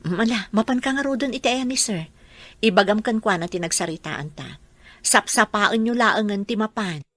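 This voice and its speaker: A woman's voice: medium pitch, normal speed, a bit bright, dry recording, natural voice. A woman playing a polite, deferential housemaid and devoted mother in a radio drama, respectful and a little hesitant. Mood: motherly. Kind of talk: radio drama acting in dialogue.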